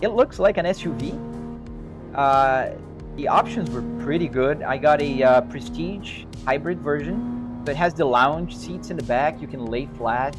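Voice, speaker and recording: A man's voice: on the high side, unhurried. A man from Brazil with a Brazilian accent, chatting casually on a live stream and answering viewers in an easygoing, rambling way. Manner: easygoing, rambling, restarts and hedges. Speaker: sim racing live streamer. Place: home sim racing rig. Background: music playing.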